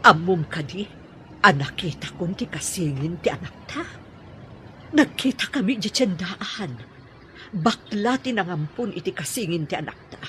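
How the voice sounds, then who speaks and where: A watchful female schemer in a radio drama, with a Filipino accent. The voice is forceful and bright, very animated, with faint ambience behind it. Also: fairly low pitch, a little slow, a little hiss or hum. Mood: insistent.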